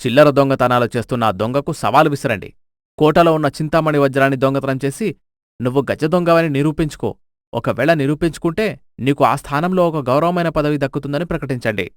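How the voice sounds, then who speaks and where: A man's voice: mid-range voice, fairly quick, no room sound, studio quality, lightly acted. Man voicing a stern, scornful storybook king. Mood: furious, commanding. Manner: commanding, scornful. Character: stern, exasperated king scolding his ministers. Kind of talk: dramatised folk tale dialogue.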